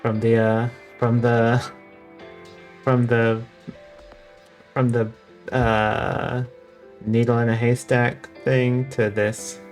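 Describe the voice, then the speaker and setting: A woman's voice: a bass voice, level tone, extremely slow, pauses often, low-quality recording. A woman streamer casually commenting and reading choices aloud over a game. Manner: laid-back, reads choices aloud. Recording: voice over loud game music.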